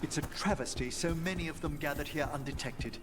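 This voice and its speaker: An actor playing a courteous, scholarly gentleman necromancer in a fantasy game, speaking formally and eloquently. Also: a little high, brisk, background noise, lightly acted. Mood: disapproving. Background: game music.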